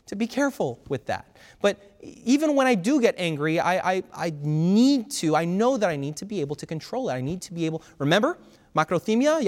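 A man, a pastor, preaching a sermon in a loud, fervent way, with emphatic repetition. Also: high voice. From Brazil. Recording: long hall reverb.

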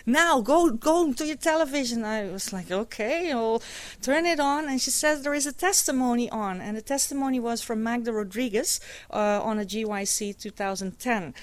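A woman's voice: on the high side, dry recording. Dutch-speaking woman telling her story. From Curacao. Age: middle-aged. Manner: conversational storytelling, many fillers. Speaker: speaker giving a testimony. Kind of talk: personal testimony.

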